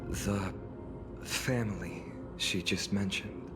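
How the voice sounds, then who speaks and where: A man's voice: low voice, slow. A man playing an earnest, courteous young hero in a video game, thoughtful with a dry wit. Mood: polite concern. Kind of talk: video game character acting. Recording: game music behind the voice.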